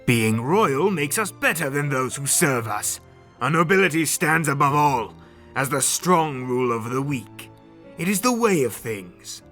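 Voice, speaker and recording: A man's voice: medium pitch, lively intonation, a little slow, a bit bright, faint music behind. A man playing an arrogant, cruel alien warrior prince in a fan audio drama, haughty and taunting. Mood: proud, measured.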